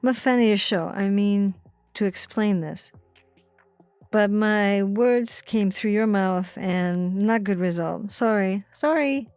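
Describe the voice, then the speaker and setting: A woman's voice: medium pitch, slow, a little mellow, clear, resonant tone, no echo. A woman playing a cheerful, ironic young Hungarian woman hacker in an audio story, speaking clipped, slightly broken English. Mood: apologetic. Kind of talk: dramatized audio story reading.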